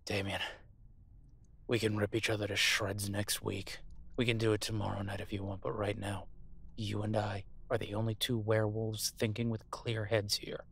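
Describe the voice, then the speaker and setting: A man's voice: fairly low pitch, flat delivery, normal speed, creaky, a bit bright, husky, full character voice. A man playing a captive werewolf alpha soldier in an audio roleplay, defiant, protective and sardonic as he urgently argues and swears. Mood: firm persuasion. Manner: profane, sardonic, urgent persuading.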